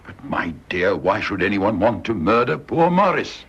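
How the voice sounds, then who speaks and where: A brusque British family doctor in a radio play, with a low, rough-edged voice. He sounds practical and dismissive, and the recording is slightly muffled. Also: sing-song, normal speed, a bit bright, exaggerated voice. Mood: exasperated. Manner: dismissive, matter-of-fact. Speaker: actor in a radio drama. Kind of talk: radio drama acting.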